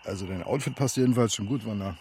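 A man's voice: on the low side, fast talker, dark tone, slight background noise, close to an everyday voice. Jovial showman dad played by a man. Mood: relaxed, teasing. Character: jovial fairground father, a showman husband. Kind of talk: children's radio play acting.

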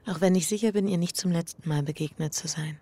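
A reflective married woman in a radio play. She speaks intimately and introspectively, as if weighing her guilt. The voice is husky and gravelly with fry at its edges. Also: on the low side, fast talker, dark tone. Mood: earnest, resolved. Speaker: lead actress and first-person narrator. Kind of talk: intimate radio drama acting.

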